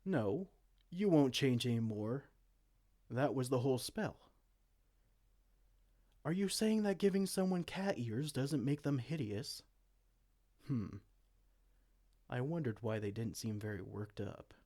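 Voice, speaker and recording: A man playing a vain, silly, soft-hearted evil king in a comedic fantasy roleplay, pompous and theatrical but slipping into casual dorkiness. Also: medium pitch, animated, slow, pauses often. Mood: smug then puzzled. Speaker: audio roleplay performer.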